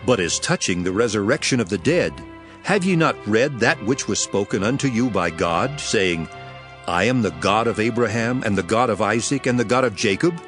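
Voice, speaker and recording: A man's voice: lowish voice, natural voice. A man acting an emphatic, authoritative male teacher. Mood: firm, correcting. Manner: solemn, emphatic, declamatory. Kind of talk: dramatized Bible reading.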